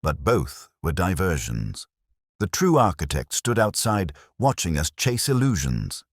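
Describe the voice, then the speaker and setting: Man voicing very deep, theatrical Victorian detective. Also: sing-song, unhurried, breathy edge, no echo, clean studio sound, heavily acted. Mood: composed, lecturing. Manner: theatrical, precise, declamatory. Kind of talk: audiobook detective story dialogue.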